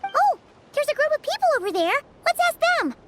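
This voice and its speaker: A woman's voice: very high voice, very animated, fairly quick, very noisy. A woman voicing an eager, spirited little girl in a video game, perky and bold. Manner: childlike, perky. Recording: game music clearly behind.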